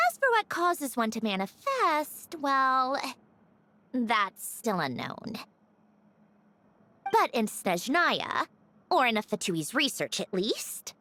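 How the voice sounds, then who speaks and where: A woman's voice: on the high side, a bit bright, heavily acted. A woman voicing a haughty, matter-of-fact young puppet genius in a video game, with a precise, lecturing, slightly impatient delivery. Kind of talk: scripted video game voice acting.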